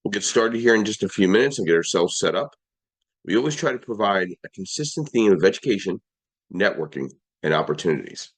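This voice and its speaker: A man hosting a live audio-room podcast, his voice a little hoarse, running through sponsors and lists in an upbeat way with frequent restarts. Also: low-pitched. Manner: upbeat, list-like delivery with restarts. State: slightly hoarse. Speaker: podcast host.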